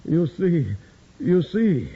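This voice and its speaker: A man's voice: on the high side, very animated, very slow, husky, heavily acted. An actor playing a hot-tempered older immigrant miner and father who speaks broken English, in 1940s radio acting. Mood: remorseful. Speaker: union leader. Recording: dull old radio recording with hiss.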